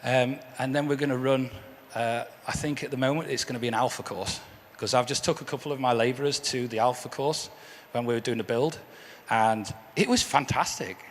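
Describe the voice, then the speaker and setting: Hoarse croaky man, earnest guest speaker, long hall reverb, faint ambience. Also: mid-range voice, a little fast, a little hiss or hum. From England. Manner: earnest, repeats words when restarting. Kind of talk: church testimony talk.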